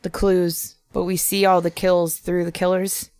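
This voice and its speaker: A woman's voice: fairly low pitch, flat delivery, unhurried, a little mellow, clear, resonant tone, dry recording. A woman co-hosting a comedy podcast, improvising casually with joking, profane banter. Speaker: podcast co-host.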